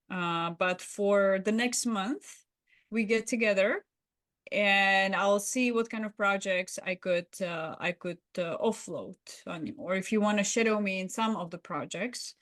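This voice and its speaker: A woman's voice: mid-range voice, a bit bright, lightly acted. Woman playing a distracted, evasive female boss. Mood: accommodating, noncommittal. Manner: hesitant, evasive, breezily dismissive. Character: busy, distracted female boss deflecting a needy employee. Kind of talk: workplace roleplay dialogue.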